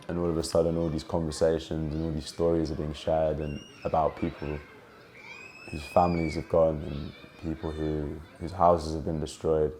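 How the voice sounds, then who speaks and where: A man's voice: rumbling low, little pitch movement, normal speed, warm tone, slight background noise. A twenty-year-old man from Jordan and the UK gives earnest, heartfelt, reflective personal testimony. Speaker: documentary subject telling his family's story.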